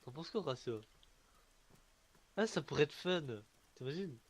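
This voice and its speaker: A man's voice: fairly high pitch, expressive, a little slow. A man chatting casually with other gamers over a noisy voice chat.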